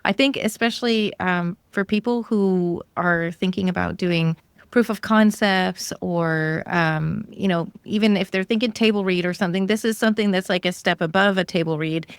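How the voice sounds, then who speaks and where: A woman's voice: on the low side, moderate pace, clear, resonant tone, no room sound. A woman hosting a podcast, chatting casually and encouragingly with her guest. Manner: encouraging, affirming interjections. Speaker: podcast host. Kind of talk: casual interview chat.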